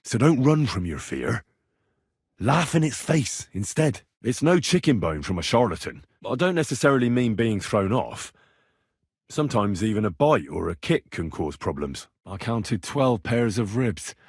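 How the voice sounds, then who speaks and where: A man's voice: fairly low pitch, very animated, measured pace, a little mellow, slightly rough, no room sound, clean studio sound, heavily acted. An actor playing an earnest, reassuring young medieval man tending the sick in a video game, speaking plainly and practically. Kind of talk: video game character dialogue.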